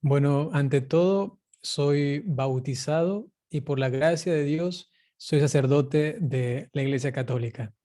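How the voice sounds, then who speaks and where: Mellow Ecuadorian man, earnest priest being interviewed. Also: on the high side, takes it slowly, few pauses. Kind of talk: reflective interview talk.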